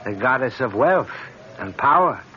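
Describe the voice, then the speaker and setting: An actor playing a wry, urbane friend and rival, speaking in a measured, ironic and insinuating way in a radio drama. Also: male voice, mid-range voice, lively intonation, natural voice. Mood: wry needling. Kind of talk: old-time radio drama acting. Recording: muffled old radio recording, noticeable hiss.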